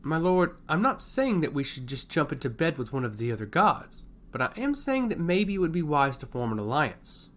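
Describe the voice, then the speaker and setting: A man voicing a stoic woman advisor, composed, deferential and gently persuasive, in a fantasy audio story. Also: a little high, big pitch swings, moderate pace, hardly any pauses. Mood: careful pleading. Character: calm, stoic female advisor to a lord.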